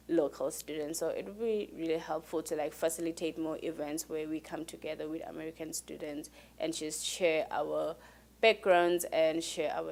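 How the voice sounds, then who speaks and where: Woman student, deep for her sex, earnest and measured, interview answers. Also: creaky, a little mellow.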